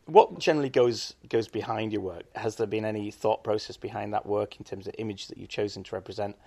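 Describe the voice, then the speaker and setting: A man with a husky voice presenting and interviewing as a podcast host. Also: on the low side, quick pace, darkish tone. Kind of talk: scripted narration and podcast interview.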